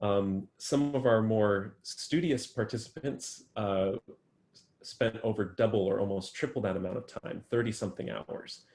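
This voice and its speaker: A man's voice: fairly low pitch, normal speed, a little mellow, poor audio. A man answering interview questions about his research, thoughtful and full of ums and restarts. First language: English. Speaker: guest researcher.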